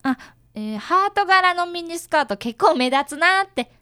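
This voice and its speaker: A woman's voice: extremely high pitch, lively intonation, moderate pace, bright, forceful tone, dry recording, clean studio sound, exaggerated voice. A woman voicing an earnest, polite fifth-grade boy apprentice detective who narrates a radio drama. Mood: hesitant. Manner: polite, youthful, explanatory. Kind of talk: radio drama acting with first-person narration.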